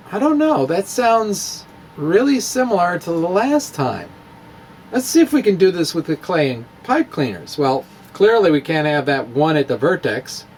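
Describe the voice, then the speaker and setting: A man's voice: high voice, slight background noise, low-quality recording. Wry man demonstrating math with clay. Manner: wry, self-mocking, chuckles at own jokes. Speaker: physics blogger demonstrating math. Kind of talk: playful demonstration with crafts.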